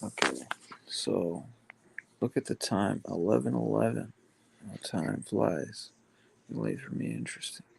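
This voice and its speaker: A man hosting a casual livestream chat, rambling and halting with many fillers. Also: lowish voice, sing-song, gravelly, a little mellow, a little hiss or hum, rough recording. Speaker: livestream host.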